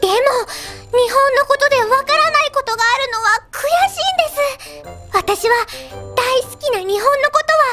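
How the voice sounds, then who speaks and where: A woman's voice: very high voice, moderate pace, bright, forceful tone, reverberant. A woman playing an earnest, very polite foreign teenage idol who adores Japan, in an anime audio drama. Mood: eager, pleading. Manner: very polite, formal, eager speech. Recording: music under the voice.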